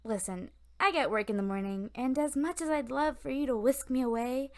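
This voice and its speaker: Sensible young female love interest played by a woman, lightly high voice, fantasy roleplay. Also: brisk, a little mellow. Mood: puzzled. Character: sensible, teasing young working woman, hero's sweetheart. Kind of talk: fantasy audio roleplay acting.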